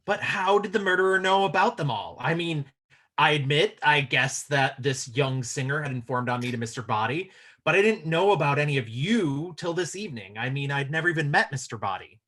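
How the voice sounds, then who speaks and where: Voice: medium pitch, expressive, fairly quick, a bit bright. An actor playing an earnest professor, one of the suspects in a comedy murder mystery table read. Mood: earnest, confessing. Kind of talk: live table read of a comedy film script.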